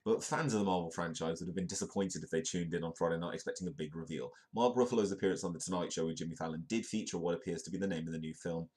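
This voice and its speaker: A man with a very low, deep voice and a British accent, hosting a comedic news stream. His nose is stuffed up and bunged from a cold, and he keeps a chatty, joking tone while reading stories aloud. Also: racing, hardly any pauses, a little mellow. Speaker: host. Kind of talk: comedic live news show.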